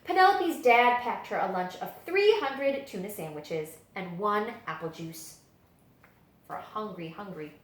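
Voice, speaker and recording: A woman reading children's picture books aloud as a story-time librarian, with dramatic, expressive storytelling. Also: mid-range voice, unhurried, a little hiss or hum. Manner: dramatic, animated storytelling delivery. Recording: echoey room.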